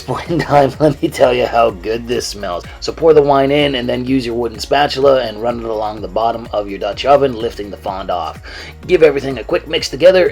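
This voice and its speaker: Hoarse, stuffed-up man hosting a cooking show. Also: medium pitch. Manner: laid-back, joking, self-deprecating, swears casually. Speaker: cooking channel host. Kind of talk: casual recipe walkthrough.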